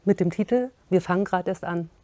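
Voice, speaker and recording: A woman's voice: fairly low pitch, a little fast, warm tone, no echo. A woman in her thirties interviews young actors, asking questions in an upbeat, optimistic way inside a cinema venue. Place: cinema venue with curtain behind.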